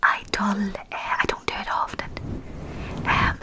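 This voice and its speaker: Slow whispering woman, ASMR host. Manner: soft, slow, affectionate whisper. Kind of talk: whispered ASMR livestream, reading chat. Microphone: fluffy windscreen mic.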